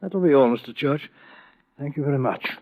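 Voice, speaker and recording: An actor playing a courteous, wry police inspector with a British accent and formal, understated speech in an old radio crime drama. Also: male voice, medium pitch, fairly quick, no echo, lightly acted. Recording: muffled old radio recording.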